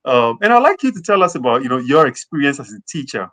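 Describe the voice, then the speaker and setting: Man, interview host, mid-pitched voice, earnest, lots of "um" and "you know". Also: sing-song, fast, very noisy. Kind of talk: online interview hosting.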